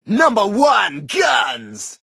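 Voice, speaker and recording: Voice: medium pitch, sing-song, very slow, gravelly, bright, forceful tone, husky, no room sound, raised voice, studio quality, full character voice. An actor voicing a bossy, earnest boy who leads kid operatives in a cartoon video game, clipped, commanding and melodramatic. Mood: eager.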